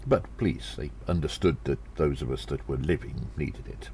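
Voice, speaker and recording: A man's voice: a bass voice, normal speed, mellow, a little hiss or hum. A man voicing a small gnome adventurer who recounts battles with theatrical gravity in an audio drama. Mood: confiding, genial. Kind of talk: audio drama storytelling, first-person narration.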